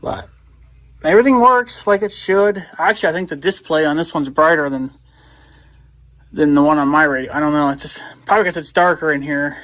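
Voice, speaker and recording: Rambling man with a slightly high voice. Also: fairly quick, a bit bright. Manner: rambling, thinking aloud. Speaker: video blogger. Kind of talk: casual hands-on gadget demonstration.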